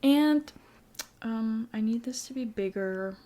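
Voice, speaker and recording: Giggly soft-voiced woman chatting on a podcast. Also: fairly high pitch, takes it slowly. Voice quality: soft, quiet voice. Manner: giggly, rambling, says "like" often. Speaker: podcast co-host. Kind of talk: casual chat.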